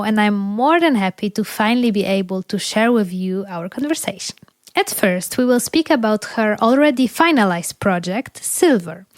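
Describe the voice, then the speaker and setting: A woman hosting a podcast, reading a measured scripted introduction and later asking interview questions. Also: medium pitch, no room sound. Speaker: podcast host.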